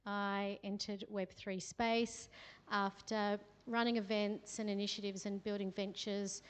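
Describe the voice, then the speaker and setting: An Australian woman gives a chatty, anecdote-filled talk on stage at a meetup, with plenty of ums along the way. Her voice sits in an ordinary middle pitch. The sound carries a long reverb from the event hall. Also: little pitch movement, measured pace, clean tone. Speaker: guest speaker. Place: event room with a live audience.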